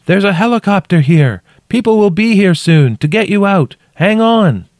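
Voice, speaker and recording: A man's voice: high voice, animated, normal speed, dry recording, studio quality, voice pushed up. Man acting an anxious man calling out urgently. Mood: urgent reassurance. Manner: projected, urgent shouting. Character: worried male companion shouting down to trapped woman, anxious, urgent.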